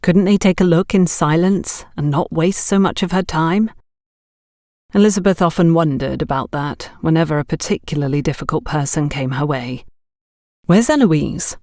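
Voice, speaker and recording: Lowish-voiced woman narrating, very dry sound. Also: steady tone, normal speed, a little mellow, dry recording. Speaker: audiobook narrator. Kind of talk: scripted story narration.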